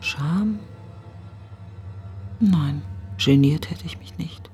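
An actress playing a middle-aged, grieving, sardonic woman, thinking aloud in fragments in a radio-play monologue, often in a breathy near-whisper. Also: deep voice, very slow, warm tone, faint music behind. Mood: grieving reflection. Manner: fragmented, musing, questioning, sardonic. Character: grieving, bitterly wry successful woman thinking aloud while driving.

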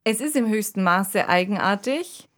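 A woman speaking in a measured, analytical way as co-host of a radio discussion. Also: mid-range voice, lively intonation, fairly bright tone.

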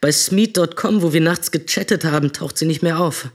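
High-voiced, aggrieved young boyfriend. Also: male voice, moderate pace. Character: rejected young boyfriend, aggrieved and self-justifying. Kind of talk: first-person radio drama monologue.

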